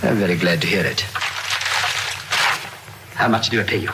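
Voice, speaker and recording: An actor playing a stern, grumpy old boss in an old film, curt and reproving. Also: male voice, low-pitched, normal speed, heavy background noise. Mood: disapproving.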